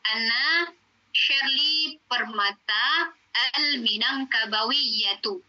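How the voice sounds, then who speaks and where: A woman's voice: fairly high pitch, very slow, bright, forceful tone, muffled recording. A woman Arabic teacher, patiently explaining in an online class with many fillers.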